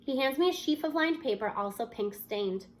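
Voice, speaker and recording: A woman's voice: high voice, expressive, moderate pace. A woman reading a children's novel aloud expressively, narrating as a student in the first person. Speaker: audiobook-style reader.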